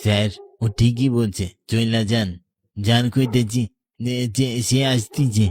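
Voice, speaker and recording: An ominous tea-seller acted by a man speaks in a with a mid-pitched voice. His delivery is urgent and warning, in horror cartoon style, recorded very dry. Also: flat delivery, takes it slowly, darkish tone, dry recording. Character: ominous male graveyard tea-seller warning visitors away.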